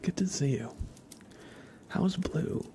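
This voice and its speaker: A man hosting an ASMR livestream, chatting softly and casually in a rambling way, often slipping into a whisper. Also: fairly high pitch, lively intonation, takes it slowly. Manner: casual, rambling, hesitant with frequent "like". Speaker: livestream host. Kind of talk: soft-spoken ASMR livestream, casual chat.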